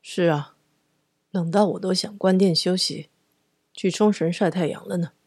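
A woman voicing a calm, easygoing male owner of a late-night diner in a radio drama, unbothered and understated. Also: fairly low pitch, moderate pace, slightly rough, no echo, clean studio sound.

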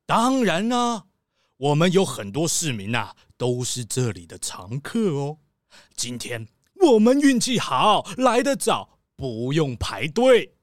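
A man playing a chatty, excitable town mayor in a children's radio drama, exclaiming and stretching words. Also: on the high side, dry recording, studio quality, full character voice. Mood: proud, enthusiastic. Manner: exclaiming, drawn-out words, gossipy.

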